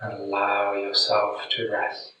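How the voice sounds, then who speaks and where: A man guiding a meditation in a soothing, deliberate manner with long pauses, speaking gently to the listener. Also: lowish voice, completely flat delivery, a little hiss or hum. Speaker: meditation guide. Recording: very echoey room, distant mic.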